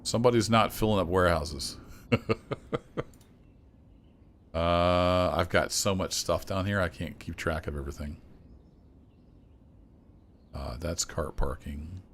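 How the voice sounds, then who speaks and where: A man streaming a city-building game, chatting casually and thinking aloud as he plays, his voice a little rough. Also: sits low, slow, long pauses, a little hiss or hum. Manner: relaxed, thinking aloud with fillers and restarts. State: slightly rough voice. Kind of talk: casual gameplay commentary.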